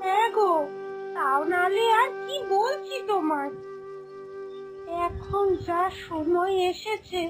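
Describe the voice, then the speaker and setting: A young village housewife from a cartoon fairy tale, played by a woman, very high and swooping in pitch. She is eager, anxious and outspoken, pleading excitably over background music. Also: slow, pauses often, heavily acted. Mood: worried. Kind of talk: Bengali animated fairy-tale voice acting. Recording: music behind.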